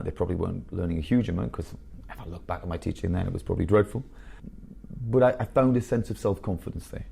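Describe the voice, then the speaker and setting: A man around fifty, a headmaster telling blunt, animated stories in a candid interview. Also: deep voice, gravelly, a little hiss or hum. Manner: blunt, animated storyteller with bursts of laughter.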